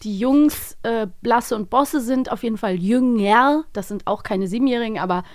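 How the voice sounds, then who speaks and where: A woman's voice: on the high side, normal speed, no room sound, studio quality. A young woman hosting a podcast, chatting casually with a co-host. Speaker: host of an audio drama review podcast.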